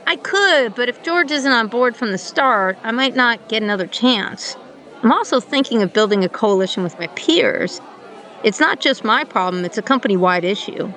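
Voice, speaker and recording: Woman acting high-voiced, frustrated director amid chatter. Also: expressive, normal speed, a bit bright. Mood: thoughtful. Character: Emma, frustrated, thoughtful female tech company director. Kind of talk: radio play dialogue.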